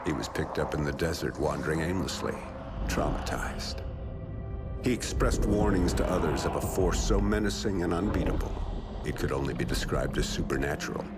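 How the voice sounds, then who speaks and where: An actor playing a middle-aged soldier father in a video game, grave and measured, with a gravelly voice. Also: rumbling low, animated. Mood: grave, ominous. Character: gruff, solemn soldier father telling a war legend. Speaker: narrator and father character. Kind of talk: cinematic dramatic storytelling. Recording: loud cinematic music behind.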